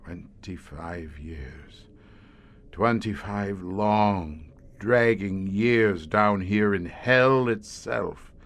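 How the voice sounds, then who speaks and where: A man's voice: on the low side, fairly bright tone, full character voice. An actor playing a haunted older police inspector in a radio horror drama, brooding and theatrical in his delivery. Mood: weary, anguished. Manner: slow, brooding, grandiose and theatrical. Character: haunted, doomed older male tunnel police inspector, slowly turning monstrous.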